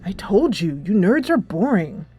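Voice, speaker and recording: A woman's voice: mid-range voice, very animated, a little slow, mellow, slightly rough, faint music behind. Woman putting on a sullen, drawling teenage girl. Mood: dismissive. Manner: drawling, dismissive. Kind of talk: visual novel character read aloud.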